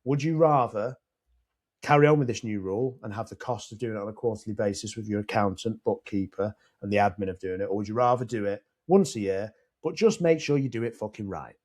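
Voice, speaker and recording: British man, low voice, business podcast. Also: a little fast, darkish tone. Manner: plain-spoken, swears, lays out scenarios at length. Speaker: podcast co-host. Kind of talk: casual business chat. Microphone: podcast mic.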